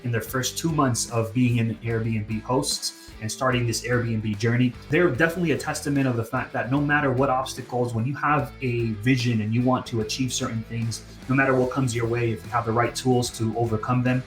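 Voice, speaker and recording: A man's voice: medium pitch, fairly even intonation, fairly quick, roomy sound, faint music behind. A man hosting an interview, introducing his guests and asking questions in an upbeat, encouraging way. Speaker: podcast host, interviewer.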